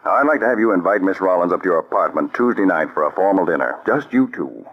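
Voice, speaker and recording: An actor playing a firm, calm counterspy chief in an old radio drama, speaking in a measured, authoritative way. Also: male voice, low voice. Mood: businesslike. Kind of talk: 1940s radio acting. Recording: dull lo-fi old radio recording.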